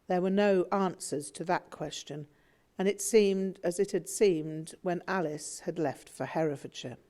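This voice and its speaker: A woman narrating a memoir audiobook in a measured, reflective way. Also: lowish voice, a little mellow.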